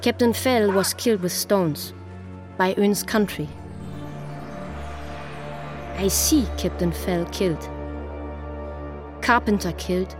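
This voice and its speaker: A woman playing a Fuegian native who gives testimony in halting broken English in a radio play. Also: medium pitch, natural voice. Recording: voice over prominent music bed. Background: music.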